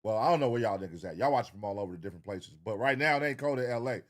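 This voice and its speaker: A man's voice: lowish voice, very fast. An American man hosting a reaction show, giving casual, profane commentary in a blunt, slangy way. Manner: blunt, slangy, animated quoting of imagined dialogue. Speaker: reaction video host.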